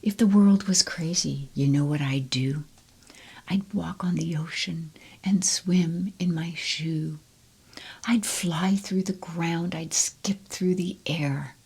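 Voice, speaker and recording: A woman reading children's poems aloud in a soft, gentle whisper, close to the mic. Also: sits low, takes it slowly, husky. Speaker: storybook reader. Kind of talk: whispered ASMR poetry reading.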